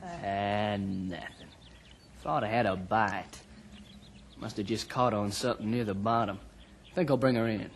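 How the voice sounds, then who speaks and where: A man's voice: fairly low pitch, fairly even intonation, measured pace, pauses often. An actor playing a carefree, dismissive fisherman in a vintage radio drama, with a breezy, folksy manner. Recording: old radio recording, faint noise.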